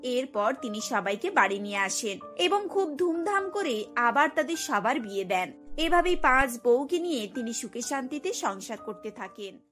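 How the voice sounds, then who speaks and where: A woman's voice: high voice, very animated, normal speed, loud music behind the voice. A woman narrating a scripted cartoon story as its storyteller.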